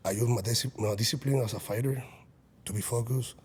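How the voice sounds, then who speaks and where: A man's voice: medium pitch, fairly even intonation, brisk, mellow, husky. A man in his late thirties, an executive protection agent and business owner, talking earnestly in a casual podcast chat with frequent restarts. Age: 30s.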